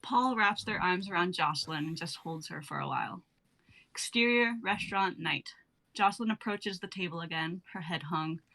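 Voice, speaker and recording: A woman's voice: lowish voice, moderate pace, bright, forceful tone, poor audio. A young woman reading stage directions aloud as scripted narration for a sketch. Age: young adult.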